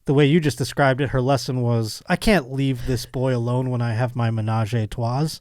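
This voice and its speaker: A man's voice: medium pitch, steady tone, normal speed, a little mellow, dry recording, clean studio sound. A middle-aged man hosting a comedic podcast, chatting casually and reading out news with a mock-earnest, joking manner. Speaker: podcast host.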